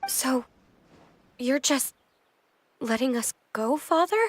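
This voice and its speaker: A timid orphan kid, high voice, slow, stammering, game cutscene, faint music. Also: female voice, lively intonation, no room sound, heavily acted. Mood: pleading. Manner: hesitant, stammering and earnest. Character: timid young orphan child, obedient and tearful. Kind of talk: video game voice acting.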